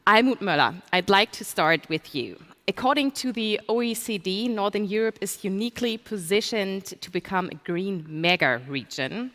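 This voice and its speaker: A woman's voice: medium pitch, expressive, normal speed, hardly any pauses, fairly bright tone. A woman hosting a panel discussion as conference moderator. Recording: echoey hall reverb.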